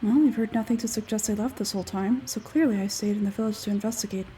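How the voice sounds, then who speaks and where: A woman voicing an aloof, logical, smug scholarly man from a game, measured and condescending, with a husky forced-low tone. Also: fairly even intonation, mellow, distant mic, soft background music, lightly acted.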